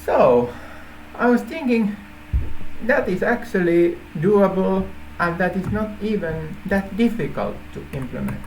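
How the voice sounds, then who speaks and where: A native Finnish man speaking English with a Finnish accent, informally explaining an idea in halting phrases with repeated words. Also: sits high, expressive, darkish tone, a little hiss or hum, rough recording. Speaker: app developer presenting a feature idea.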